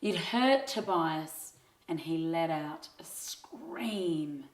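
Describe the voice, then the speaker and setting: A woman narrating a scripted children's story in an expressive, measured reading style. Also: low voice. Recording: roomy, echoing sound.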